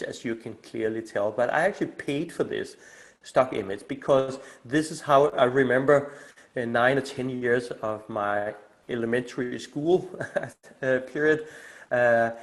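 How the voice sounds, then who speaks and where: A middle-aged man with a Danish accent, presenting informally on a video call, relaxed and hesitant with many filler sounds. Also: mid-range voice. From Denmark. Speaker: community initiator presenting on a call. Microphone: video call microphone.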